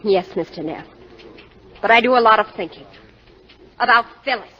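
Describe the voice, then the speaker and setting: A woman's voice: fairly high pitch, normal speed, natural voice. A woman acting an earnest, distraught young daughter in 1950s radio drama, a little hesitant, on a muffled vintage recording. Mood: subdued. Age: young adult.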